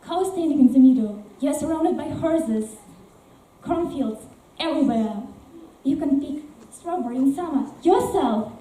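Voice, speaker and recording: A woman's voice: high-pitched, animated, measured pace, warm tone. A woman playing a newcomer from Russia who talks eagerly about her new home in an amateur stage performance. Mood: enthusiastic. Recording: echoey room from far off, faint noise.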